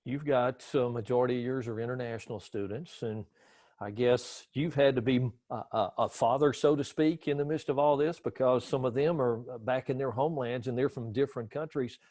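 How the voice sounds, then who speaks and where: A man's voice: mid-range voice, fairly even intonation, moderate pace, a little mellow, dry recording. A man hosting a casual interview, folksy and chatty, with a joking manner. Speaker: interview host.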